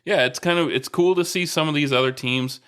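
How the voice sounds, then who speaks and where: A man's voice: fairly high pitch, brisk, fairly bright tone, clear, resonant tone. A man co-hosting a casual podcast, talking sports in an easygoing, teasing way. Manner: easygoing, teasing, uses fillers. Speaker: podcast co-host.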